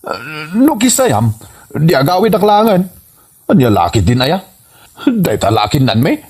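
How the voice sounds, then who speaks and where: Man playing a husky, swoopy-voiced freeloading husband. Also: fairly high pitch, huge pitch swings, a little slow, a little mellow, exaggerated voice. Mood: dismayed, complaining. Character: hungry, freeloading village husband, sheepish and complaining. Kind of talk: comedy radio drama acting.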